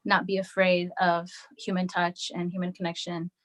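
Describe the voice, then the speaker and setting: A woman singer, a podcast guest, talking softly and hesitantly over a video call, pausing often and restarting her sentences. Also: lowish voice, completely flat delivery. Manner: soft-spoken and hesitant, with many fillers and restarts. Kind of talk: casual video-call conversation.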